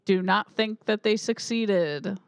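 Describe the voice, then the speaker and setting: A woman's voice: medium pitch, slow pace, clean tone, dry recording. A woman co-hosting a movie podcast, chatting casually with blunt, skeptical opinions. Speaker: podcast co-host. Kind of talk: casual movie-review chat.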